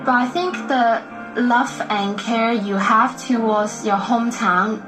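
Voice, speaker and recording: Young woman, soft reflective interview, slow pace, roomy sound, music bed. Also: fairly high pitch. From Hong Kong. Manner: reflective, soft-spoken, casual fillers. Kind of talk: documentary interview. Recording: echoey with music underneath.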